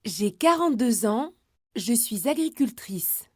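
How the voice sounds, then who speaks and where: A woman speaking a short, slow, carefully clear line on a language textbook recording. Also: on the high side, very animated, a little mellow, no room sound, studio quality, full character voice.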